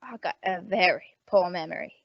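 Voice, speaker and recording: A woman playing a gruff, suspicious, money-minded rooming house landlady in an old-time radio detective drama, blunt, slangy and wisecracking. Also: lowish voice, moderate pace, highs cut off. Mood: suspicious, hostile.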